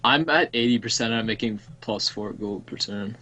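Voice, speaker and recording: A man's voice: lowish voice, moderate pace, sharp tone, lo-fi sound. A young man streaming a strategy game, chatting casually and rambling with the odd swear. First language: English. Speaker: let's play streamer. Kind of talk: casual gaming chat.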